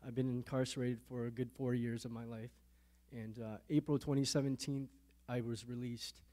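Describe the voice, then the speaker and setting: A man in his thirties from Canada gives his faith testimony at a middle pitch. He speaks haltingly, often repeating words, and his voice drops softer when he recalls painful memories. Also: level tone. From Canada, born in a Thai refugee camp to Lao parents. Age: 30s. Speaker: interviewee giving a testimony. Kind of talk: earnest faith testimony interview.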